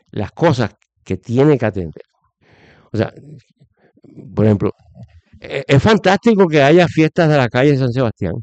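Middle-aged man, Puerto Rican accent, emphatic interview guest with firm opinions, mid-pitched, dry recording. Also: a little mellow, no echo. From Puerto Rico. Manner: opinionated, emphatic, repeats phrases for stress. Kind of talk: conversational political commentary.

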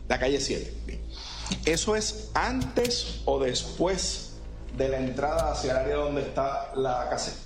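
A man's voice: mid-range voice, unhurried, over music. A male lawyer questioning a police witness in court, polite and methodical. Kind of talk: courtroom examination. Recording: echoey courtroom mic.

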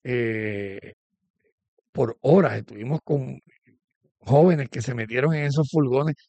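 A man's voice: medium pitch, slow pace, creaky, dark tone, no room sound, lo-fi sound. A man hosting a conversational religious interview. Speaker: host.

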